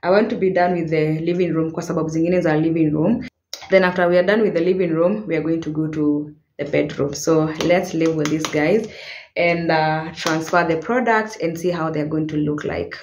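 A woman's voice: low voice, steady tone, moderate pace, a little mellow. A young Kenyan woman vlogging casually, chatting in English with Swahili words mixed in, speaking to a camera on a stand in a small apartment. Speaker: home vlogger. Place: small apartment room, indoors. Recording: echoey bare room, faint noise.

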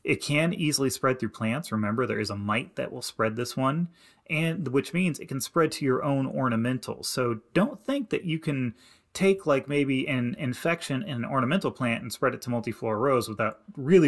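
A man narrating a slideshow lesson as an extension educator, giving plain, practical advice in a steady instructive way. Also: medium pitch, normal speed. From Indiana. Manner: plain, practical and advising, with many "you need to" instructions. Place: voice-over to slides.

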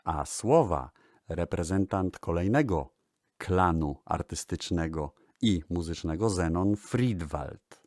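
A man presenting music history in a radio style, speaking deliberately with pauses and hesitations. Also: deep voice, animated, a little mellow, dry recording, studio quality.

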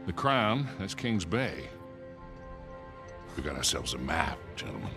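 An actor playing a seasoned, sardonic older treasure hunter with an American accent and a dry delivery. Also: male voice, low-pitched, very animated, a little slow, over music. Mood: sardonic, amused. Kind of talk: naturalistic video game acting.

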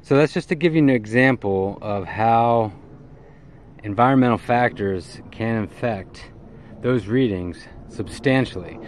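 A middle-aged man giving a casual, hands-on how-to in a halting way, recorded on a handheld camera outdoors by a vehicle. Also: medium pitch. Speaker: CB radio hobbyist presenter. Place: outdoors in and beside a parked vehicle in an open field. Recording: clear background noise, outdoor ambience.

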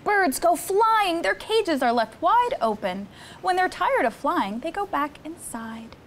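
A young woman hosts a children's story time in a high voice full of swoops and lifts. She reads the picture book expressively and talks to the kids in an encouraging way. A low rumble of noise sits behind her voice. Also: big pitch swings, measured pace. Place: studio set with seated children.

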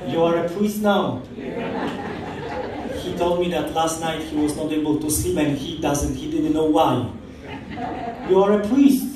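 A man's voice: fairly high pitch, measured pace, pauses often, a crowd in the background. A priest in his forties from Poland with a Polish accent, preaching an informal, chatty homily full of anecdotes and laughs. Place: large church hall. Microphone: far camera across the church. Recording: echoey church from far off.

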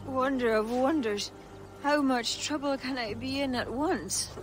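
An actress playing a brash, teasing young Irish warrior poetess in a game cutscene, with a wry, playful, theatrical manner. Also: female voice, high voice, unhurried. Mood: mocking, defiant.

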